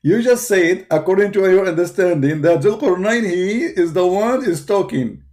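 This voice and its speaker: A man's voice: sits high, animated, normal speed, darkish tone. A man hosting a live stream, mocking and arguing back at a video he plays, and laughing often. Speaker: debate host reacting to a video.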